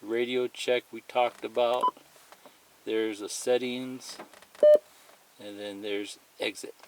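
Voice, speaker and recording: Male tutorial presenter, unhurried and plain-spoken, medium pitch, explaining a device step by step on a noisy home-made recording. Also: level tone. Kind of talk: casual hands-on product demonstration. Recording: clearly noisy amateur recording, clicks and beeps.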